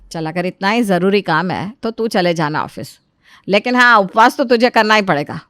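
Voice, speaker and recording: A woman's voice: medium pitch, normal speed, a bit bright, clear, resonant tone, dry recording, clean studio sound, pitched below the performer's own voice, lightly acted. A woman voicing a stern, devout and domineering older Indian mother-in-law in a moral story, with a commanding, scolding manner. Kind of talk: acted dialogue in a moral story.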